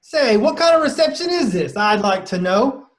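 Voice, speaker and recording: A man's voice: extremely high pitch, sing-song, normal speed. An actor playing a flashy, jovial young male vaudevillian with a slangy, wisecracking delivery in a play reading. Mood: jovial bluster. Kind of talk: play table read.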